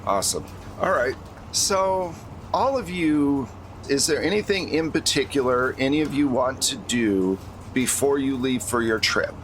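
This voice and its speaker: A man's voice: mid-range voice, a little hiss or hum, low-quality recording. An American man acting as game master, talking casually and conversationally as he narrates a tabletop role-playing game. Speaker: game master of an actual-play podcast.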